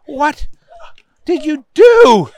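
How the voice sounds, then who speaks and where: Performer doing a weary, exasperated judge, bright-voiced. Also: sits high, expressive, unhurried, slight background noise, pitched above the performer's own voice. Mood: stern, baffled. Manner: questioning, insistent, spells out choices. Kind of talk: comic character voice in storytelling.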